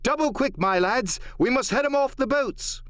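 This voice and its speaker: Man acting a high, commanding adventure doctor. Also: lively intonation, normal speed, no echo, clean studio sound. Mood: urgent. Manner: urgent, shouted orders. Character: brisk commanding doctor leading armed men.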